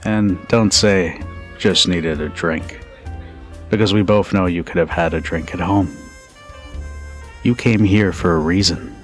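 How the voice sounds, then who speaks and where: A man playing a confident, protective bartender in an ASMR roleplay, teasing and flirty in an intimate, unhurried manner. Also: low voice, faint music behind. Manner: teasing, unhurried, with soft chuckles and pauses. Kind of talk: ASMR roleplay, intimate second-person monologue.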